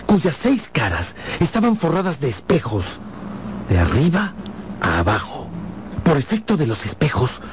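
An actor playing a dramatic storyteller, narrating a radio drama in a measured, suspenseful way. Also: male voice, fairly high pitch, background noise. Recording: clear music behind the voice.

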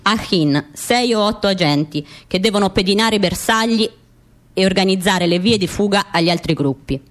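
An actress playing a stern secret agent recounting missions in measured, declarative radio theatre narration. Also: female voice, mid-range voice, natural voice. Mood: factual.

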